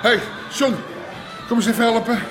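An actor playing a stubborn, hot-tempered middle-aged Amsterdam underworld boss in a radio drama, blunt and slangy. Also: male voice, extremely high pitch, fairly even intonation, normal speed, music in the background, crowd noise, poor audio. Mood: furious, commanding. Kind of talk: naturalistic Dutch radio drama acting.

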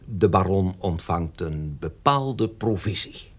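An actor playing a discreet, obsequious, evasive notary in a radio drama, polite and very formal. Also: male voice, deep voice, expressive, takes it slowly, exaggerated voice. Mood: evasive, deferential. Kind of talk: Dutch radio drama acting.

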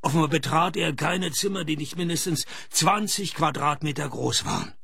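An actor playing a dry, world-weary, cheeky private detective in a radio play, deadpan and laconic in dialogue and narration. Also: male voice, on the high side, moderate pace, creaky, breathy edge, exaggerated voice. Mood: wry, deadpan. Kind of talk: radio play acting and first-person narration.

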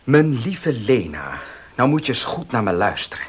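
An actor in a Dutch radio play playing a proud, domineering farmer and husband who speaks emphatically. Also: male voice, medium pitch, sing-song, normal speed, husky. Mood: firm, insistent. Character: proud, domineering gentleman farmer, loving but stubborn husband.

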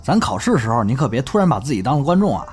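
A man's voice: mid-range voice, sing-song, very fast, darkish tone, lightly acted. Audio drama: easygoing young crosstalk lad , male actor, teasing, swooping intonation, music underneath. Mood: teasing, animated. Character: easygoing, chatty young male crosstalk performer, helpful friend. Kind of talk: Chinese audio drama acting.

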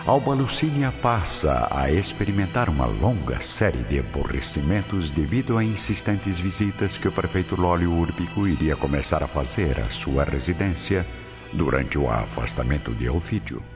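A man's voice: sits low, lively intonation, moderate pace. A man with a Brazilian accent narrating a scripted radio drama in a dramatic style. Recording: muffled, long reverb, music behind.

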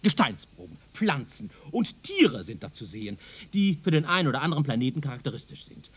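A man's voice: medium pitch, big pitch swings, fairly quick, breathy edge. A man playing a zealous, self-assured professor of speculative science in a German radio drama, lecturing in a pedantic, insistent way. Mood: eager lecturing.

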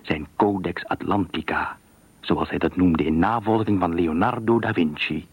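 A man narrating a literary radio thriller story in a measured, expressive storytelling manner. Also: deep voice, slightly rough, no room sound.

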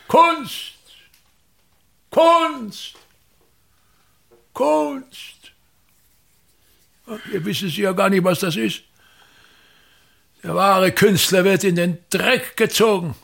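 A bitter, self-important old stage director in a radio drama. He declaims slowly in a husky, gravelly, fairly high-set voice. Every word comes out with contempt and grand theatrical weight. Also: fairly bright tone, exaggerated voice. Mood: indignant outburst. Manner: declamatory, contemptuous, repeating words with grand emphasis. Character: pompous, bitter, ranting old actor-manager of a touring theatre. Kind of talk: theatrical German-language radio play monologue.

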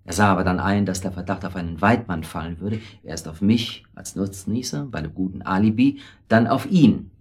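A middle-aged husband in a naturalistic radio play, with a low, husky voice. He rambles hesitantly through a confession, forever justifying himself and plainly weak. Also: a little fast, hardly any pauses. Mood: defensive, confessing. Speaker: radio drama actor. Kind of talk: naturalistic German radio drama acting.

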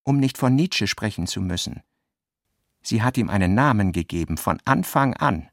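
Man reading literary fragments, deliberate and clipped. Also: on the low side, expressive. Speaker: radio play reader of literary notes.

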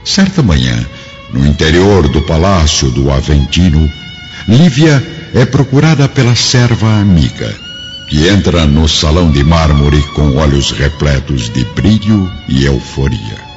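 A man's voice: very deep voice, lively intonation, measured pace, a little mellow. A man with a Brazilian accent giving solemn, formal, dramatic narration for a radio drama. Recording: dull lo-fi radio drama, music behind.

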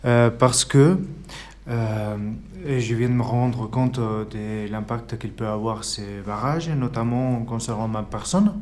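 A man plays a bewildered local resident, halting and stammering through his words. He speaks slowly in a mid-pitched voice. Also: darkish tone, natural voice.

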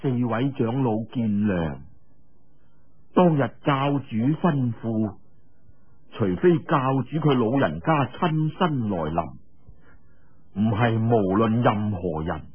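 A man voicing a deferential male subordinate in soft, halting, obsequious Cantonese. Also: medium pitch, expressive, husky, phone-quality sound. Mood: cautious, firm. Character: meek, deferential male subordinate guarding a prisoner.

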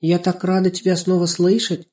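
Storybook girl, acted by a man: young, curious, easily scared, pitched high, tender and exclaiming. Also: monotone, normal speed, a little mellow, lightly acted. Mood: glad, curious. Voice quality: ringing, tender. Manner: exclaiming, full of questions.

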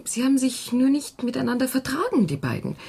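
A woman's voice: sits high, sing-song, fairly quick, warm tone, slightly rough, exaggerated voice. A woman playing a wary, subdued, newly widowed hairdresser in a German radio play, speaking hesitantly. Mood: subdued, confiding.

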